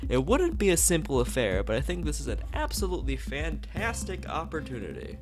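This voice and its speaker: A man voicing a theatrical, pompous male art teacher who speechifies with excitable grandeur, as in a visual novel read aloud. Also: a little high, fairly quick, pitched above the performer's own voice. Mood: excited, persuasive. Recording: music playing behind the voice.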